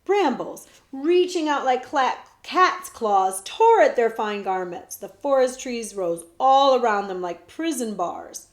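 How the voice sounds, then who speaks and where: A woman librarian reading a children's book aloud with expressive storytelling, dropping to whispers at times. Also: fairly high pitch, slow pace, few pauses, roomy sound. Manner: expressive storytelling, shifts between whispers and loud voices.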